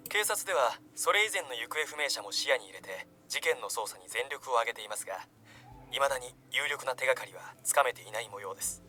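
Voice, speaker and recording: A man acting as a brisk, serious TV news anchor in a visual novel game, reading formally over faint background noise. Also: mid-range voice, animated, pushed and bright.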